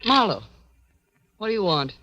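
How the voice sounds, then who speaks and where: A woman's voice: medium pitch, sing-song, moderate pace, bright, forceful tone, slight background noise. A guarded dame from a vintage crime radio play. She is curt and defiant, and the recording is dull and old. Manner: curt, evasive. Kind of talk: 1940s radio drama acting.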